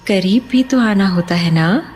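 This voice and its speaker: A woman's voice: mid-range voice, a little slow, a little mellow, soft background music, natural voice. A woman playing a seductive undead mother in a horror audio drama, coaxing and teasing with sweet insistence. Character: seductive undead mother luring victims to open the door.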